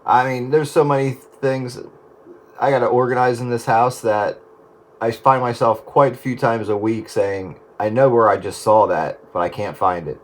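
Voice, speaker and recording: A man's voice: medium pitch, fairly even intonation, moderate pace. A man chatting casually with fellow hobbyists over two-way radio.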